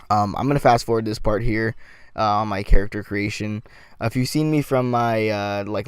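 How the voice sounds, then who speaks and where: A man giving casual gameplay commentary, slightly raspy from a sore throat, rambling with lots of ums and restarts. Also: lowish voice, no echo.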